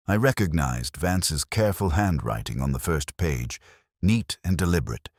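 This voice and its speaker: A man narrating a detective story in the first person, in a measured, formal and reflective way. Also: a bass voice, no room sound, studio quality.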